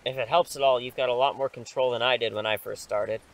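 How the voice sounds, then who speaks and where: A man acting in an audio drama as an impulsive, excitable superhero mentor, rambling and reassuring, sheepish when challenged. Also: mid-range voice, fast talker, natural voice, poor audio. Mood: encouraging, instructive.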